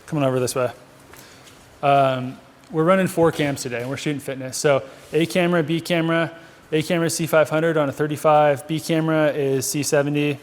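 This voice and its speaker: A man's voice: on the high side, fairly even intonation, a little fast. A man talking informally and unscripted as a video producer, walking through a gear review in a conversational, buddy-like way. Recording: long hall reverb of a large space.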